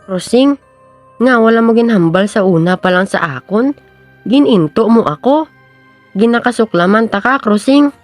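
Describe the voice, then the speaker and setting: A woman's voice: fairly high pitch, lively intonation, normal speed, pauses often, a little mellow, soft background music, close to an everyday voice. A young woman playing an earnest, lovestruck schoolboy in an amateur radio drama. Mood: hurt, angry. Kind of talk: amateur radio drama acting in Filipino regional language.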